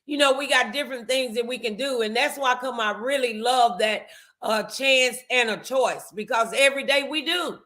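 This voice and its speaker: A middle-aged American woman hosting a motivational talk show, speaking in an emphatic, deliberate way. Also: a little high, sharp tone.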